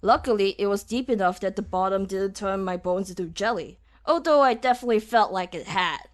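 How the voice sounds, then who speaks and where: A woman's voice: medium pitch, a little fast. A young woman reading a story aloud as an amateur voice actor, often laughing and restarting her lines. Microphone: home microphone that cuts out.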